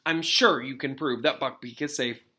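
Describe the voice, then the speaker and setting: Guy reading a bookish young schoolgirl, earnest and supportive, storybook style, bright crisp edge. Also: mid-range voice, normal speed, natural voice. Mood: reassuring.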